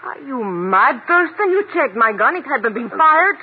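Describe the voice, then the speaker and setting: An actress playing a cold, scornful foreign villainess in a 1950s radio drama, commanding, with formal phrasing. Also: female voice, sits high, big pitch swings, a little slow. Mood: bitter, defiant. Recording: very noisy, tinny old radio recording.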